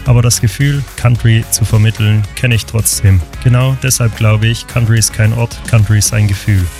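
A man from Germany hosts a country radio show in a personal presenting style. His voice is fairly low, with guitar music clearly playing underneath. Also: fairly even intonation, moderate pace. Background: guitar music bed.

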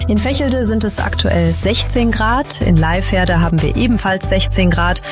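Radio traffic presenter, brisk even reading, music bed clearly under. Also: mid-range voice. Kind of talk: scripted radio announcement.

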